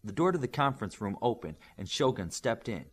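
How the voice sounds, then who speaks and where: Man reading fiction with lively, swooping pitch. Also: fairly quick, breathy edge. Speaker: audiobook reader. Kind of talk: scripted fiction narration with acted dialogue.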